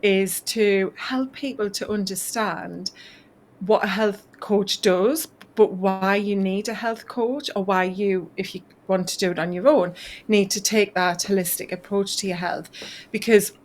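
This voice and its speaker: A woman's voice: medium pitch, fairly even intonation, unhurried. Earnest woman with a Northeast English accent. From northeast England. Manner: earnest, thoughtful, with many "you know" fillers. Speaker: health coach guest. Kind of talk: casual video call interview. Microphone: video call mic.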